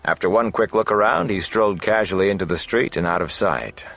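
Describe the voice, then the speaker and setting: A man narrating a fantasy novel as an audiobook in a measured, dramatic way. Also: low-pitched, creaky, fairly bright tone. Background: faint music.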